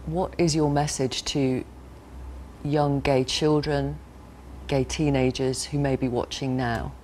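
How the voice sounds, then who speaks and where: A man's voice: medium pitch, flat delivery, slow pace, a little mellow. Guy doing a news interview, careful sympathetic questions, bit of noise behind him.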